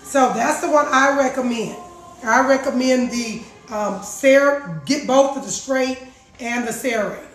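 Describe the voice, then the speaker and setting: A middle-aged woman with a raspy voice chatting casually to her viewers about juicing, recorded in her home kitchen on a phone. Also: sits high, unhurried. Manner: chatty, repeats herself, addresses viewers as guys. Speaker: juice vlogger. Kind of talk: casual live chat. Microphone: phone camera across the counter. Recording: roomy kitchen echo.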